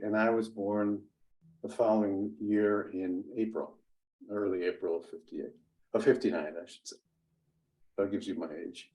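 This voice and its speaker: A man in his sixties whose first language is Italian, telling his life story as an interview guest in a halting way, with many restarts and fillers. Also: on the low side, dark tone, low-quality recording. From United States. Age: 60s. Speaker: interview guest, business executive. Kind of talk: conversational storytelling.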